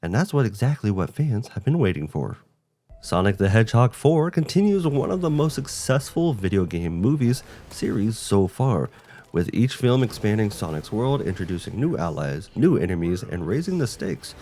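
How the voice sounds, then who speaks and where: A man's voice: fairly low pitch, big pitch swings, moderate pace, mellow, slight background noise. A man co-hosting a video game show, reading a scripted presentation. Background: background music.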